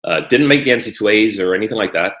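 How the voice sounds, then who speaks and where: A man with a very low voice answers interview questions in a relaxed, unhurried way. He is middle-aged, from Guyana and raised in England, and restarts his sentences with repeated words. The room gives his voice a roomy echo. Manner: easygoing, repeats words when starting sentences. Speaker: former Olympic hurdler and trainer, guest. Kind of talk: conversational interview. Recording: echoey room.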